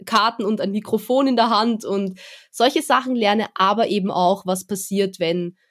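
A young woman speaking in a relaxed, chatty way as a guest, with plenty of small fillers. Her pitch sits in an ordinary middle range for a woman. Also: normal speed. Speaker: guest, event presenter. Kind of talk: casual interview talk.

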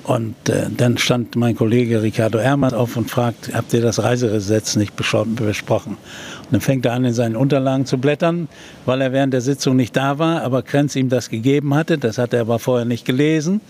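An older man, a journalist and TV presenter, recounting a historical event in an interview with many pauses and filler sounds. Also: mid-range voice, steady tone, darkish tone, a little hiss or hum. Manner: hesitant storytelling with many fillers.

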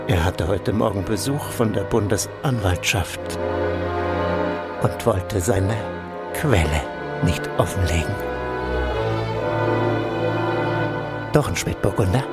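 An actor in a radio play playing a smug, cynical senior security official, condescending and deliberate. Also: male voice, low voice. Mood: smooth, dismissive. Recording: loud music under the voice. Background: loud music.

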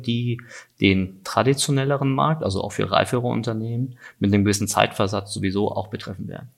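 A man's voice: on the low side, creaky. A man hosting a podcast, chatting informally and with enthusiasm in an interview. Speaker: podcast host. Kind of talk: conversational interview.

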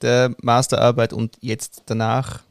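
A man's voice: medium pitch, completely flat delivery, a little slow, no echo. A man hosting an interview, asking loose, friendly questions in a casual way. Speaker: podcast host interviewer.

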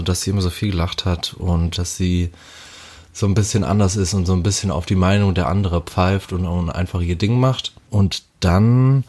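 Laid-back man, warm low voice. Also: breathy edge. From Hamburg, Germany. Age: 30s. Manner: relaxed, rambling with fillers and restarts. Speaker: interviewee. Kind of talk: casual storytelling.

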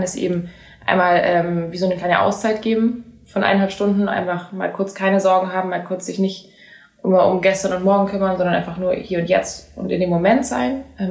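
A young woman, a singer-songwriter, chatting in an interview, lively and full of fillers and restarts. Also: fairly low pitch, gravelly, roomy sound. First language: German. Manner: animated, many fillers and restarts, laughs easily. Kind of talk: casual interview chat.

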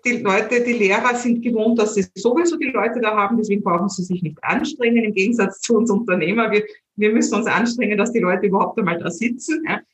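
A middle-aged woman with a Viennese accent, an entrepreneur and learning coach talking in an interview, lively and opinionated, often repeating her first words. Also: mid-range voice, fast talker, echoey room, rough recording. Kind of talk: casual conversational interview.

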